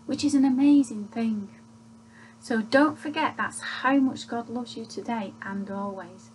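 A woman gives a gentle children's church talk, asking leading questions as she tells the story, recorded on a webcam in a small room at home. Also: high voice, a little hiss or hum, low-quality recording. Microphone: webcam at desk distance.

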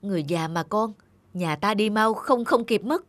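An actor playing an earnest middle-aged father in an animated film, urging and reproachful. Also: medium pitch, moderate pace, close to an everyday voice. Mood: urgent. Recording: music under the dialogue.

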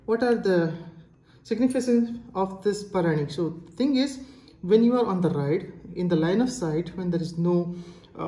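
A woman with an Indian accent giving a casual hands-on product demonstration in a halting, explanatory manner. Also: lowish voice, warm tone. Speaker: product reviewer. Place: indoors, tiled-floor room. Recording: echoey hard-floored room.

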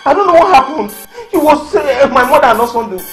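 A man's voice: extremely high pitch, expressive, brisk, echoey room, faint music behind. A man with a Nigerian accent playing a desperate young man in debt who pleads and stammers. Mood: pleading. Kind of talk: Nollywood drama acting.

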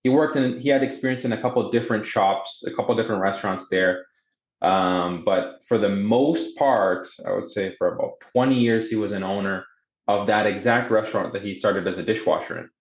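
Man, low voice, native Portuguese speaker, from Boston, hesitant and conversational, muffled video-call sound. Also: brisk, roomy sound. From Boston, USA, with teenage years in Brazil. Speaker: podcast guest. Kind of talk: conversational life story. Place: indoor room on a video call.

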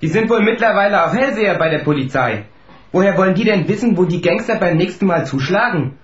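An actor playing a cocky, sceptical young would-be detective with a know-it-all manner in a radio play. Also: male voice, high-pitched, lively intonation, fast. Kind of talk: German radio play acting. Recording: echoey, slightly dull audio.

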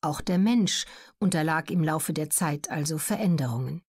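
A woman reading scripted documentary narration for an audiobook in a measured, explaining way. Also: deep voice, animated, darkish tone, slightly rough.

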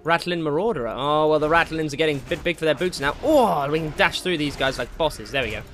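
A man giving casual, excitable commentary while playing a video game, jokey and slangy, with exclamations and swearing. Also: a little high, a little fast, slight background noise. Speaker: gaming video host. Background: game music.

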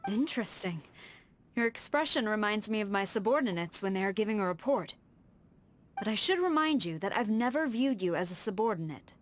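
An actress playing a stern, proud tengu warrior woman in a video game, with composed, firm and measured formal speech. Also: mid-range voice, lively intonation, lightly acted. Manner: formal, measured, precise diction. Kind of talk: scripted video game character dialogue. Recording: studio voice over background music.

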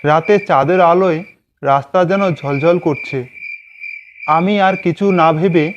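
A man's voice: fairly high pitch, slow pace, dull audio, background noise. A man narrating a scripted ghost story in the first person. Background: background music.

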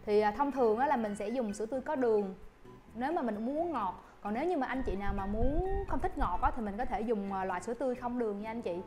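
Young woman barista, fairly high voice, courteous step-by-step tutorial, lapel mic, faint music under it. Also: fast talker. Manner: polite, addresses viewers with soft fillers. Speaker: barista instructor. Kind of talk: coffee-making tutorial. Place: coffee shop counter indoors.

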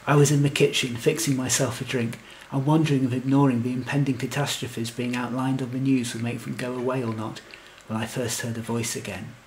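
A man's voice: medium pitch, level tone, a little fast, noisy background, natural voice. A man playing a lovelorn, anxious, wry fellow who tells his own comic story in earnest, wordy scripted lines. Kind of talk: scripted comic first-person narration and verse.

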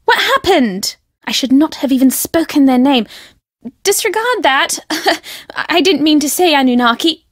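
A flustered, chatty young woman in an adventure game: high, lively delivery, dry studio sound. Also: unhurried, no room sound, heavily acted. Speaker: game character. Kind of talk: video game voice acting.

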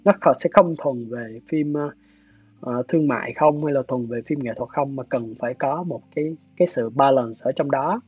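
Husky southern Vietnamese man explaining thoughtfully. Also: medium pitch, normal speed, no room sound. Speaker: guest, animation director. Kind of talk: explanatory interview talk. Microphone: video call. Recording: dull video-call audio, music behind. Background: soft plucked guitar music.

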